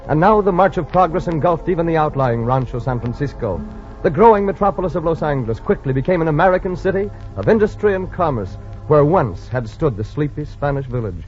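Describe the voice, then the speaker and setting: A man's voice: a little high, darkish tone. Solemn male radio narrator, muffled, with music. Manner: dramatic, grandiose, solemn. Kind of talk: 1940s radio drama scripted narration.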